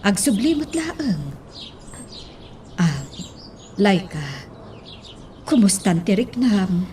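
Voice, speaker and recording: Woman acting a caring Ilocano mother, medium-pitched. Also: very animated, very slow, a little mellow. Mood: concerned, soothing. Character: caring, reassuring mother of a sick young woman. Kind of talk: radio drama acting. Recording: faint birdsong and background noise.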